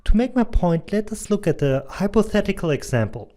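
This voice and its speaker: Mellow-voiced German man presenting. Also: high-pitched, very animated, a little fast. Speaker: digital advertising consultant and doctor. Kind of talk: scripted presentation voice-over.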